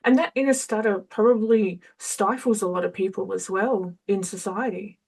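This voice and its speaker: A woman's voice: mid-range voice, fairly even intonation, moderate pace, creaky, darkish tone, slightly rough, distant mic. A woman interviewing a guest in a casual chat, encouraging him with short replies and laughs.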